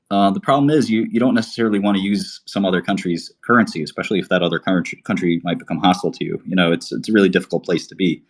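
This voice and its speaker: A man from Albania talking casually on a livestream, rambling with many fillers and restarts. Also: sits low. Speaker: podcast host.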